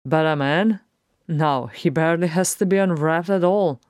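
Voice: mid-range voice, moderate pace, a little mellow, no echo, clean studio sound, lightly acted. A narrator voicing an irreverent male dwarf warrior in a storybook, talking in a breezy, sardonic, colloquial way. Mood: cocky.

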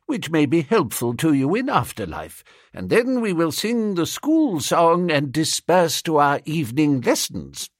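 A man's voice: no room sound, studio quality. A man doing an icy, stern schoolmistress. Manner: low, soft, cold and firm. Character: stern, icy middle-aged schoolmistress, woman.

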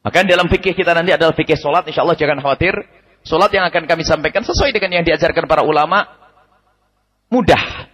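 A man giving a lively, emphatic religious study lecture, repeating his key points and chuckling now and then. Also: a little high, fast. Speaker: preacher. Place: study gathering hall. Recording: radio broadcast with long hall reverb.

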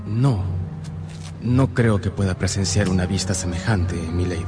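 An actor playing a dutiful prison warden, grave and hesitant, restrained in a radio drama style. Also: male voice, low voice, measured pace, loud music behind the voice. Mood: reluctant. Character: dutiful, incorruptible prison warden, softening under temptation.

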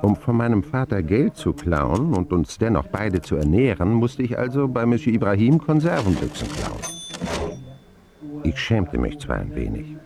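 An actor playing a man who recounts his boyhood wryly and candidly in a radio drama, with dramatic phrasing and deliberate pauses. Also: on the low side, very animated, creaky, crowd noise. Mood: reflective. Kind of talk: scripted first-person radio drama narration.

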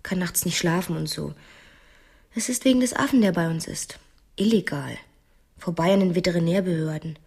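An actress playing a strictly raised, quietly cunning wife who feigns naivety in a radio play, speaking hesitantly with pointed innocence. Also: female voice, fairly low pitch, moderate pace, gravelly, long pauses, slightly rough. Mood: veiled threat. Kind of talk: radio play acting in dialogue.

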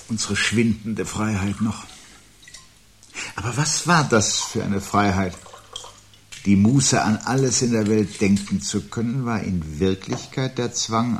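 A man acting a weary, resigned intellectual husband in a 1970s radio play, speaking in a measured, reflective way with a husky voice. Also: fairly low pitch, a little hiss or hum. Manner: measured, reflective, pedantic phrasing.

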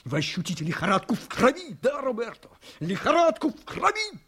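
An actor playing an ambitious, cynical, domineering politician and prosecutor in a radio drama, with a theatrical, emphatic delivery that moves between confiding and fierce. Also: male voice, extremely high pitch, huge pitch swings, a little fast, a bit bright, husky, heavily acted. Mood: domineering, passionate. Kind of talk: Soviet radio drama acting.

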